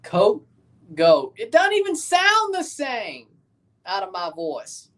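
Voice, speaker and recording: A woman's voice: a little high, huge pitch swings, slow pace, fairly bright tone. A woman hosting a livestream watchalong, giving casual live football commentary and chatting with viewers, often repeating and correcting herself.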